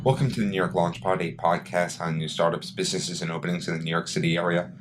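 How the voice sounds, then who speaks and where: A man hosting a podcast, opening the show in a smooth, announcer-like way and asking his guest questions. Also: deep voice, fast talker. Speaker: podcast host. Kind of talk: scripted podcast intro and interview questions. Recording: noisy recording with steady hiss.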